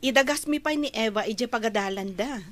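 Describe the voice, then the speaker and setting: A woman playing a brisk lady doctor and household employer in an Ilocano radio drama, with faint background noise. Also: on the high side, natural voice. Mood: easygoing, brisk.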